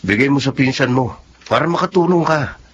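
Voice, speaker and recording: A man's voice: mid-range voice, expressive, brisk, a bit bright, husky. A man with a Filipino accent playing a kindly, supportive office friend in a radio drama. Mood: sympathetic, generous. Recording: muffled audio with faint background noise.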